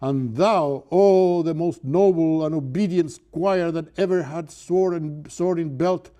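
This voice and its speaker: A man's voice: fairly high pitch, slow, dark tone, pitched above the performer's own voice. An older man with a Cuban accent reading aloud the hammy barber's fake prophecy, declaiming in archaic, affected tones. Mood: grandiose. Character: male barber faking a grand prophecy, hammy. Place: lecture hall in front of a chalkboard.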